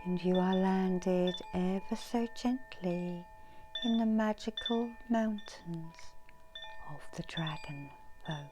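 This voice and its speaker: A middle-aged woman leads an ASMR guided meditation with extremely slow, drawn-out phrasing. Her voice is fairly low, soothing and hushed, often sinking to a whisper. Clear background noise sits behind her in a small room. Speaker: guided meditation host, Reiki healer.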